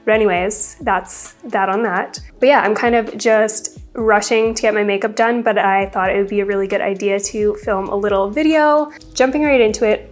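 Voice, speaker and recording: A young woman with a congested voice, chatting casually through a makeup routine as a beauty vlogger. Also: medium pitch. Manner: chatty, upbeat, rambling product talk. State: congested from a cold. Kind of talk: casual get-ready-with-me chat.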